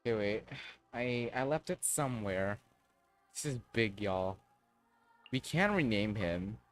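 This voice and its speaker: A man's voice: lowish voice, animated, unhurried. A man livestreaming a game, chatting casually and thinking aloud, with some swearing. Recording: dry close sound, faint music.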